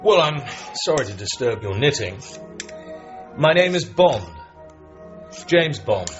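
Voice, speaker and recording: An actor playing a suave, sardonic British secret agent with a wry, urbane manner in a radio drama. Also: male voice, medium pitch, big pitch swings, slow pace. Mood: cool courtesy. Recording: dull broadcast sound with music behind.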